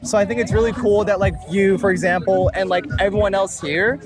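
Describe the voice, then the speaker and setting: High-voiced young man chatting easily. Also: a little fast. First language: Tagalog. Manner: relaxed, rambling, many "like" fillers. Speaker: music producer, guest. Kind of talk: casual interview. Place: outdoors at a beach, traffic nearby. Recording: music playing under the voice.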